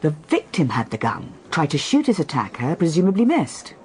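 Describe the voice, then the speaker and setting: A woman's voice: deep voice, wildly swooping pitch, moderate pace, a little mellow, a little hiss or hum. A woman with a British accent acting in a radio drama as a curious, practical listener who asks terse, probing questions. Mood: inquisitive.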